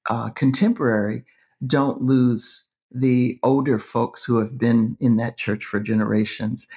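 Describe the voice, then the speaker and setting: A woman's voice: very deep voice, warm tone. Earnest middle-aged woman on a video call. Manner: earnest, winding, many fillers. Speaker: seminary professor and podcast co-host. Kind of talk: conversational discussion. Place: room with bookshelves, indoors. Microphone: webcam on video call.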